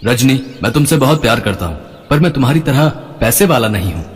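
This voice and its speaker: A man voicing a scheming, drunken young husband, the villain of an animated melodrama. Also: mid-range voice, fast, big-room sound, natural voice. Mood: coaxing.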